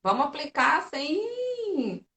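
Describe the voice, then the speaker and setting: Mulher brasileira contando histórias, sala com eco. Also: female voice, high voice, slow, reverberant. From Brazil. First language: Portuguese. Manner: lively storyteller, animated quoting. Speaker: physiotherapist and teacher. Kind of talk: casual live-stream interview.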